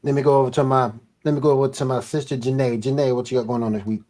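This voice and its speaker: A man's voice: medium pitch, level tone, very fast, mellow. A man hosting a podcast, casually passing the turn to each guest. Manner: friendly, hands over turns. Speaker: podcast host. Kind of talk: casual chat.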